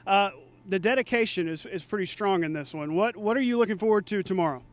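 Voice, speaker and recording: A male sports anchor with a high voice presents a live TV sportscast. He talks conversationally and jokily, as if chatting with fans. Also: fast talker.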